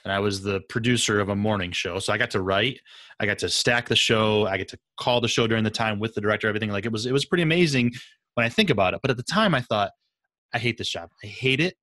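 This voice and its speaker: A man's voice: fairly low pitch, fast talker, pushed and bright, no room sound. A man hosting a podcast, chatting casually and telling anecdotes with emphatic, repeated phrases. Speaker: podcast host, interviewer.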